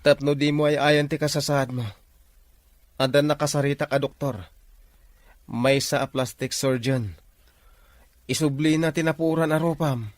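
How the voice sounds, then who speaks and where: A man's voice: on the high side, fairly even intonation, moderate pace, pauses often, dry recording, natural voice. A man playing a devoted, determined young suitor in an audio drama, earnest and respectful. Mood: tender. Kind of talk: Ilocano audio drama acting.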